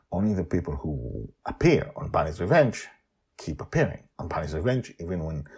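Deep, raspy, animated male storyteller. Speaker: storyteller and podcast host. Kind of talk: dramatic narration of a serial fantasy story.

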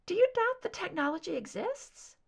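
A shadowy, composed female informant performed by a woman narrator speaks in a very high, delicate soprano. Her lines are soft and clear, lilting up and down with cryptic, unhurried deliberation, in the manner of a thriller audiobook. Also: very animated, exaggerated voice. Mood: ominous, intent.

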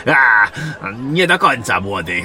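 Man acting muttering, forceful old-soldier general. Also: mid-range voice, slight background noise, exaggerated voice. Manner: hesitant, muttering, repeats himself, chuckling. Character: jovial, gruff, evasive veteran general fond of beer. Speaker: voice actor in an audio drama. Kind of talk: fantasy audio drama acting.

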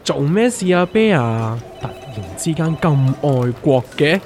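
A wry, teasing, well-informed investor friend in a comic radio drama, acted by a man. The Hong Kong Cantonese delivery swings widely in pitch, over light music. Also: sing-song, unhurried, a little mellow. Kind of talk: scripted comedic dialogue. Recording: music under the voice.

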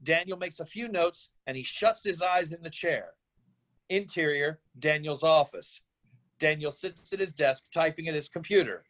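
High-pitched male screenplay narrator. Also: moderate pace, fairly bright tone, poor audio. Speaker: narrator reading stage directions. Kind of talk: scripted narration.

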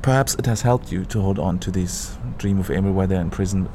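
An actor playing a gentle, reflective middle-aged confidant in a radio drama, measured and consoling. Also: male voice, low-pitched, close to an everyday voice. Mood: consoling, firm. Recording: clearly noisy ambient background.

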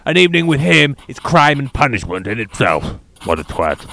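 A man putting on a theatre director who reads his letters with a lot of melody. The pitch swoops widely, from grand and gracious to exasperated. His delivery is theatrical and comic. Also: sing-song, moderate pace, creaky, bright, forceful tone, exaggerated voice.

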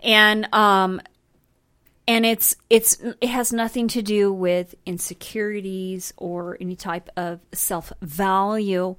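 A woman's voice: mid-range voice, steady tone, takes it slowly, a bit bright, clean tone, no room sound. Middle-aged woman from colorado, a bit buzzed, chatty and blunt, dry close sound. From Colorado, USA. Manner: loose, chatty and candid, a little tipsy. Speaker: podcast guest. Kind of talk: casual chat.

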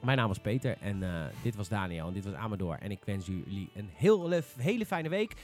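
A man's voice: on the low side, very animated, fairly quick, a little mellow, soft background music. A man with a Netherlands Dutch accent hosting a casual panel podcast, opinionated and emphatic. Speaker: podcast host. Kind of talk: casual panel discussion about games.

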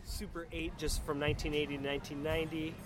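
A middle-aged man hosting a casual podcast chat, speaking effusively and haltingly, often repeating his words. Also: fairly high pitch, little pitch movement. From northern United States, grew up partly in the South. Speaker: podcast host. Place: outdoors at a pizza place. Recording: noisy outdoor spot with traffic.

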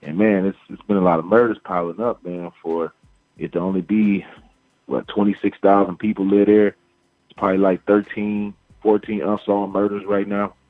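A man's voice: lowish voice, flat delivery, moderate pace, no room sound. A man from Illinois calling in as an interview guest, laid-back and slangy. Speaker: guest artist. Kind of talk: casual phone-in interview. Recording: tinny phone line with faint music.

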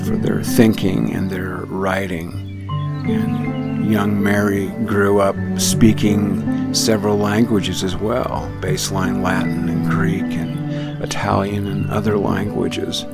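A man hosting a radio show, telling reflective stories in a halting way that often restarts phrases. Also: low-pitched. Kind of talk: reflective storytelling monologue. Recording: music bed under speech. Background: music under the voice.

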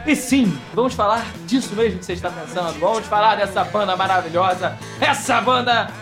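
A man co-hosting a casual podcast chat with friends, excitable and quick to laugh, steering the talk between topics. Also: high-pitched, music in the background. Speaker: podcast co-host.

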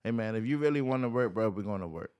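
A man's voice: fairly low pitch, lively intonation, quick pace, darkish tone, clean tone. A laid-back man. He talks casually on a podcast and ends his phrases with "man" and "bro.". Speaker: podcast co-host.

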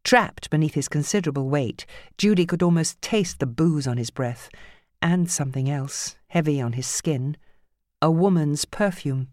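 A woman narrating fiction from a script as an audiobook reader, with a measured, grave and dramatic storytelling manner. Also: low voice, darkish tone, dry recording, studio quality.

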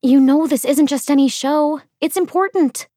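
A woman's voice: high voice, normal speed, dark tone, no room sound, pitched above the performer's own voice, lightly acted. A woman voicing a reluctant, defensive young woman musician in an audiobook, with a dry, exasperated manner. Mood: pleading, strained.

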